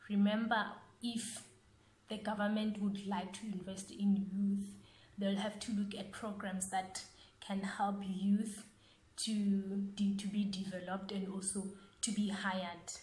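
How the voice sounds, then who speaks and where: A young woman with a South African accent speaking earnestly and deliberately, rephrasing as she gives motivational advice in an interview. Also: mid-range voice, level tone, darkish tone. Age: young adult. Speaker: interviewed young entrepreneur, business owner. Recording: echoey, roomy sound.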